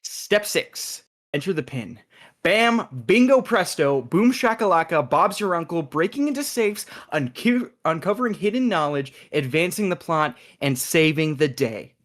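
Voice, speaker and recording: A man playing an eager, chatty agent in a comedic radio play, excitable and theatrical in delivery. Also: on the high side, unhurried. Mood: eager, triumphant.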